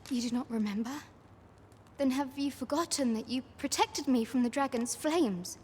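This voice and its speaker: A woman playing a gentle, caring young village woman in a fantasy game, with formal, old-fashioned phrasing. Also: high-pitched, moderate pace, slight background noise, lightly acted. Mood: relieved, tender. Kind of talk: archaic fantasy game cutscene acting.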